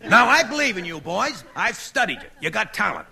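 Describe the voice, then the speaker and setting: An actor playing a brisk, pushy show-business manager in a 1940s radio comedy, speaking emphatically. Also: male voice, high voice, very animated, gravelly, pushed and bright, heavily acted. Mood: urgent, pitching. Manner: emphatic, urging, salesman-like.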